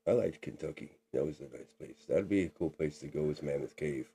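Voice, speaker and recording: Very deep, husky man in his forties. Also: a little fast, warm tone. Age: 40s. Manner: drawling, says "man" a lot. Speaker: podcast co-host. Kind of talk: casual chat.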